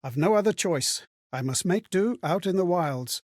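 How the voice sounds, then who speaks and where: A man's voice: high-pitched, lively intonation, moderate pace, a little mellow, dry recording, clean studio sound. A man voicing a courteous, bewildered spirit for a video game, theatrical and contemplative as he recounts old memories. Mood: fearful, desolate. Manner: theatrical, refined, contemplative, wordy. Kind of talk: dramatic video game voice acting, storytelling.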